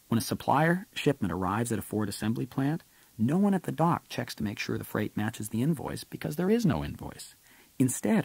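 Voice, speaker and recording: A man's voice: medium pitch, creaky, darkish tone, husky, no echo. A man reading his own business audiobook as scripted narration, in an emphatic, explanatory way.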